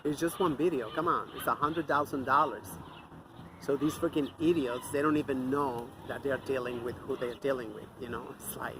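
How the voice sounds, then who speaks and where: A man's voice: mid-range voice, steady tone, normal speed, noisy background. Angry man ranting outdoors. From Mexico. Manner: angry, profane, scornful. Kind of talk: off-the-cuff ranting monologue.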